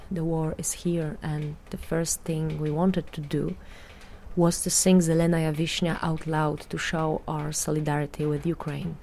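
A woman from Poland with a Polish accent, giving a measured, earnest prepared statement as an artist and vocal performer. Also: deep voice, warm tone, slight background noise.

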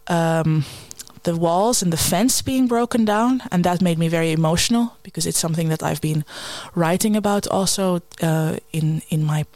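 A woman guest in a conversation, talking in an earnest, thoughtful and measured way. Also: on the low side, darkish tone, no room sound. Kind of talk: reflective conversation.

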